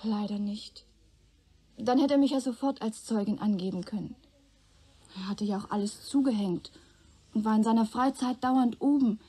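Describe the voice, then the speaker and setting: An actress playing a guarded, loyal young woman giving testimony in a radio play, plain-spoken and measured. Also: on the high side, warm tone, husky. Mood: earnest, explaining. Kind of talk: German radio play acting.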